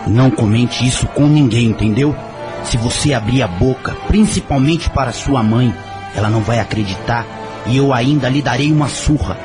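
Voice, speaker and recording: A man's voice: medium pitch, moderate pace, close to an everyday voice. Man acting a menacing Brazilian father, music underneath. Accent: Brazilian Portuguese. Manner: menacing, commanding. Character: threatening abusive father, stern and menacing. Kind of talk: Brazilian audio drama acting.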